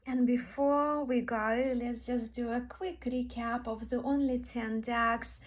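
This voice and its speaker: Slow-paced Eastern European woman, higher voice. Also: steady tone. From Belarus, born in Russia. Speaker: tarot deck reviewer, vlogger. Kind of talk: casual chat to viewers, show-and-tell.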